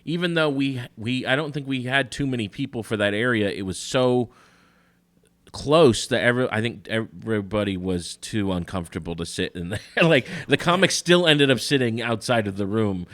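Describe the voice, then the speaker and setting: A man co-hosting a podcast in casual chat, with a cutting voice that carries, talking in a relaxed, rambling way. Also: mid-range voice, moderate pace. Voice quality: says his voice is cutting and carries. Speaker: podcast co-host. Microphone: headphones and studio mic.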